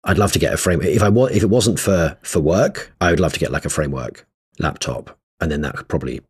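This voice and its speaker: A man co-hosting a podcast in casual conversation about tech, agreeable but measured in how he puts things. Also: low voice, breathy edge. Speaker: podcast co-host.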